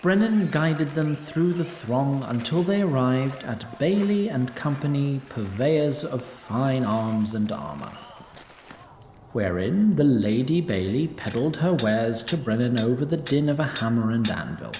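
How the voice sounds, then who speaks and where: A man's voice: medium pitch, sing-song, measured pace, a little mellow, slight background noise. A man voicing a wry, ageless sprite narrator. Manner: wry, opinionated asides. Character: snarky timeless male sprite narrator. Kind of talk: audio drama narration. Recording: roomy echo.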